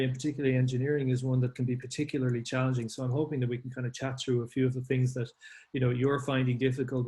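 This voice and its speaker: A man hosts a podcast interview, working his way through long questions with plenty of hesitations. His voice has an ordinary pitch, and the room around him sounds noticeably echoey. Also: a little mellow. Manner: rambling, hesitant with fillers. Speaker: podcast host and CEO.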